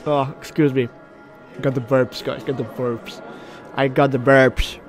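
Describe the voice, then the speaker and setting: A man doing let's play commentary, reading game text aloud in an animated, slightly stumbling way. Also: mid-range voice, a little slow. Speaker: video game streamer. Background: game music.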